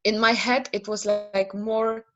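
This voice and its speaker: A woman's voice: medium pitch, level tone, a little slow, lo-fi sound. Hesitant Polish-accented woman. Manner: hesitant, many fillers and restarts, laughs often. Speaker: interview guest, naming specialist. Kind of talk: casual interview conversation.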